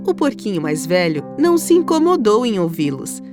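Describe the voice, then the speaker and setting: A woman's voice: medium pitch, very animated, moderate pace. A woman with a Brazilian accent narrating a scripted children's story. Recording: music under the voice.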